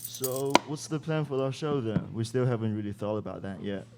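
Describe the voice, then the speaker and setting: A man's voice: mid-range voice, moderate pace, lightly acted. A young man acting a blunt, practical student broadcaster in an amateur radio play. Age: young adult. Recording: clear background noise.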